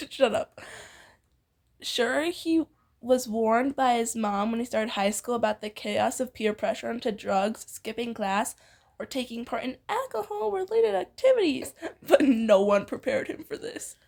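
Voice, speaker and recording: A woman with a high-pitched voice reads a story aloud in an informal, theatrical way. Her intonation swings widely, and her delivery is giggly, slipping easily between reading and banter. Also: expressive, a little slow, creaky, a little mellow. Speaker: co-reader on a fan fiction reading channel. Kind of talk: informal fan fiction read-aloud with banter.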